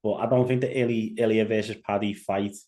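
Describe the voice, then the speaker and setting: Low, flat-voiced Liverpool man. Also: a little fast. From England. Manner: rambling, many fillers and restarts. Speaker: podcast host. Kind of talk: casual solo commentary.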